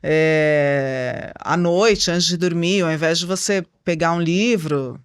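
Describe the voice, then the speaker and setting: A woman's voice: lowish voice, slow, smooth, no echo, clean studio sound. A Brazilian woman co-hosting a podcast, speaking in a casual, conversational way. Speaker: podcast co-host.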